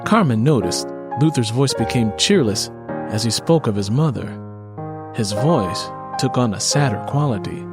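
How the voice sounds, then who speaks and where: Expressive male story narrator over music. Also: medium pitch, moderate pace. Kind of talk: scripted fiction narration.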